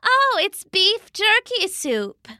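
A woman voicing a kindly, slightly sly old wife in a children's story, with a drawn-out, coaxing way of talking. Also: very high voice, big pitch swings, bright, forceful tone, no echo, studio quality, pitched above the performer's own voice, heavily acted. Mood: innocent. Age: older. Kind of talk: acted children's storybook character.

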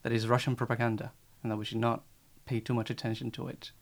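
A man's voice: medium pitch, level tone, quick pace. A man speaking on a discussion panel in an earnest, insistent way, repeating his phrases for emphasis. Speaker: panel guest.

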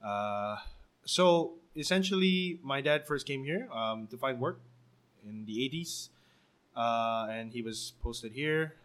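A man's voice: medium pitch, expressive, slow pace, a bit bright. A man in his twenties with a Singaporean English accent, chatting casually in an interview and laughing often between fillers. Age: 20s. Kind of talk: casual conversational storytelling.

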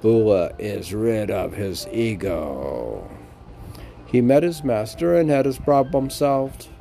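A man talking casually in a halting, musing, repetitive way and reciting poetry as a podcast host, on an amateur recording. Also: fairly low pitch, big pitch swings, creaky, mellow, soft background music. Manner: halting, musing, repeating phrases. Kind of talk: rambling casual talk and poem recitation.

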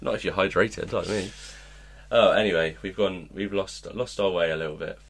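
A man's voice: sits low, steady tone, moderate pace, gravelly. A man co-hosting a casual podcast, rambling through football stories with lots of filler words. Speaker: podcast co-host.

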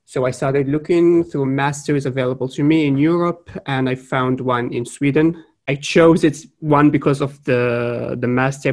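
A young man from Morocco, talking as a podcast guest in an unscripted way, pausing to search for words. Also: mid-range voice, creaky. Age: young adult. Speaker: podcast guest, trading graduate. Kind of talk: casual podcast interview.

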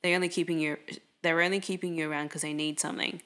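Woman, low voice, reflective, casual podcast talk. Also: flat delivery, fast talker, fairly bright tone. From Australia. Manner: thoughtful, reflective, filler-heavy. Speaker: podcast co-host. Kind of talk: casual conversation.

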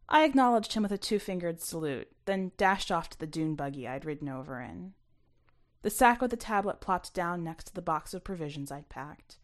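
Woman narrating a first-person story expressively. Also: lowish voice. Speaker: story reader.

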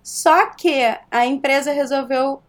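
A woman from Rio de Janeiro with a Carioca accent, a guest chatting casually in an interview, animated and quick to laugh. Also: high voice. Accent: Carioca Portuguese. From Rio de Janeiro, Brazil. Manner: animated storyteller, laughs often.

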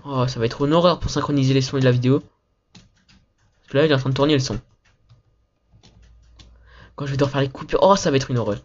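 A man's voice: mid-range voice, highs cut off. A man making a gaming video, chatting casually in a slangy, rambling way, with some phlegm in his throat. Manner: slangy, rambling, talks fast. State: says he is sick, phlegm in throat. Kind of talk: casual gaming chat with an upbeat video intro.

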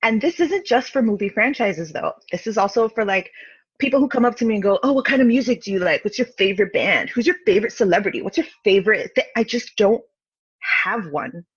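A woman's voice: medium pitch, expressive, quick pace, slightly rough. A woman guest on a podcast, chatting casually and laughing often over a video call. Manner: giggly, playful, puts on silly voices. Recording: dull lo-fi call audio.

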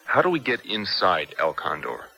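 A man's voice: low-pitched, huge pitch swings, normal speed, sharp tone, exaggerated voice, rough recording. An actor playing an urgent, guilt-stricken man set on freeing a friend in a 1970s radio drama. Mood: urgent, determined. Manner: urgent, questioning. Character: anguished, determined man seeking to free captured friend.

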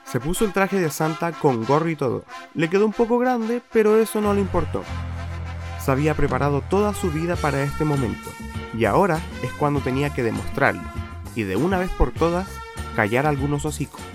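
Male narrator, Chilean accent, slightly high voice, colloquial storytelling, clear music bed. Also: big pitch swings, fairly quick. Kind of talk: scripted story narration for radio play.

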